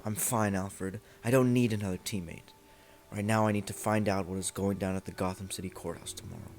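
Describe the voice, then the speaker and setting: A man playing a brooding, threatening masked vigilante in an amateur superhero radio play, with a low gravelly voice. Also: fairly even intonation, a little fast, a little mellow, faint music behind, lightly acted. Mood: brooding, determined.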